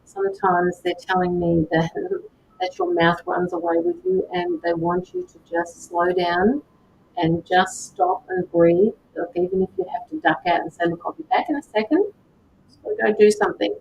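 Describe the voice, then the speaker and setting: A woman doing live tarot and mediumship readings, speaking gently to viewers by name with frequent hesitations. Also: very animated, normal speed, vocal fry. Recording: slightly dull lo-fi sound with faint rumble.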